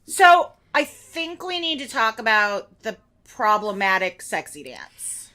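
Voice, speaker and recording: A woman's voice: on the high side, very animated, slow, pushed and bright. A woman co-hosting a podcast, talking casually with a panel about a TV show. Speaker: podcast co-host.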